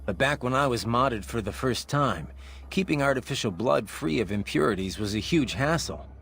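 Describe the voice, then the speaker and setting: An actor playing a brooding, cynical cyborg mercenary in a video game, gruff and terse. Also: male voice, mid-range voice, animated, normal speed, fairly bright tone. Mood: wry, reflective. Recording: music behind.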